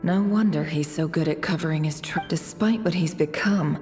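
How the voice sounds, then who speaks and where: A woman's voice: low voice, normal speed, darkish tone, natural voice. A woman voicing a thoughtful young game heroine, musing inquisitively to herself. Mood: pensive, curious. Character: thoughtful young woman traveller piecing clues together. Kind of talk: dramatic game voice acting, inner monologue. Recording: long reverb, music underneath. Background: music.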